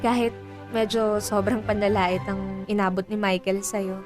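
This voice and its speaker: A woman's voice: mid-range voice, level tone, normal speed, lightly acted. A woman playing a sensitive, heartbroken teenage younger sister in a radio drama, plaintive yet polite. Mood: soothed, meek. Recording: clear music behind the voice.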